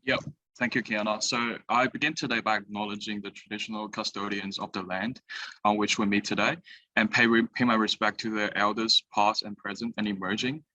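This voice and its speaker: Young man from China, bright and low. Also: little pitch movement, fairly quick, rough recording. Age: young adult. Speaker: university student guest. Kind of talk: casual chat. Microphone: headset on a video call.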